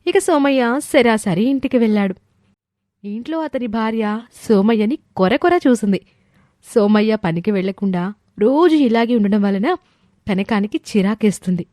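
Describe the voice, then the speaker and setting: A woman's voice: a little high, moderate pace, warm tone, no echo, clean studio sound. A woman reading a scripted moral story as its narrator. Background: background music.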